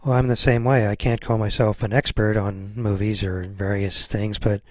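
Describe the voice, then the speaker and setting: A man's voice: lowish voice, level tone, moderate pace, a little mellow, no echo, clean studio sound. A man hosting a podcast, interviewing a guest in a casual, conversational way.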